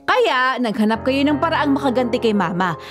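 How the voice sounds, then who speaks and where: Indignant high-voiced Filipino daughter. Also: female voice, animated, moderate pace, music in the background. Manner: scolding, insistent. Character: indignant, reproachful adult daughter pleading with her father. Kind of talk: Filipino radio drama acting.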